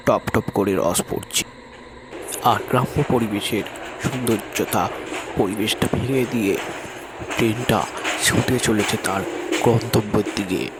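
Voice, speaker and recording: A man narrating a horror story in a measured, steady way. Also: lowish voice.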